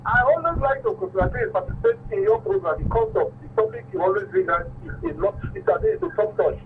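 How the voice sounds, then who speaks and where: A man's voice: high-pitched, very animated, fast talker. A man with a Nigerian accent giving emphatic, repetitive opinions as a caller to a radio show. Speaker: phone-in caller. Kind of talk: opinionated radio phone-in talk. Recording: distant tinny phone line, faint noise.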